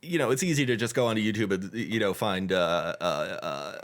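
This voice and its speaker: A man with a somewhat low voice talks loosely on a casual podcast. He wanders through his points, stalling on fillers and stumbling over restarts as he goes. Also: lively intonation. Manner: rambling, halting, many fillers and restarts. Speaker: podcast co-host. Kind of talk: casual chat.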